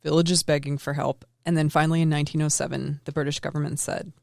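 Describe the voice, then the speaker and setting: Woman storyteller, gravelly tone, casual and self-deprecating, dry close mic. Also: sits low, little pitch movement, fast talker, darkish tone, no echo. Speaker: guest storyteller.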